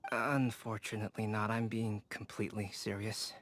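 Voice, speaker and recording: A man voicing a calm, precise young alchemist with a formal, deadpan manner in a video game. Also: lowish voice, moderate pace, natural voice. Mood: serious, measured. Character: calm, matter-of-fact young male alchemist scholar. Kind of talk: scripted game voice acting.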